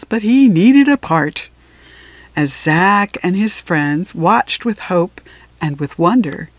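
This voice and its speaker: A woman reading a children's picture book aloud with expressive phrasing and deliberate pauses. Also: medium pitch. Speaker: storybook reader.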